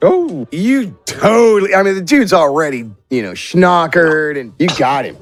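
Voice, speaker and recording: A man's voice: a little high, animated, a little slow, faint music behind. A man narrating a tabletop game as game master, with theatrical, improvised storytelling.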